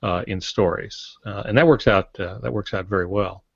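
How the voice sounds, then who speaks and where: An older man explaining things in a halting, digressive way as a co-host in a panel discussion. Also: fairly low pitch, vocal fry, slightly rough. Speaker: podcast co-host, writing instructor. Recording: muffled, roomy lo-fi podcast audio.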